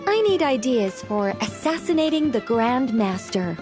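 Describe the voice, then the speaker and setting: An eccentric lady writer from a video game, with a fairly high voice. She speaks in formal, theatrical phrases with lofty self-assurance, over faint music. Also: big pitch swings, measured pace. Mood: scheming, self-assured. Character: eccentric, theatrical woman novelist with grandiose schemes.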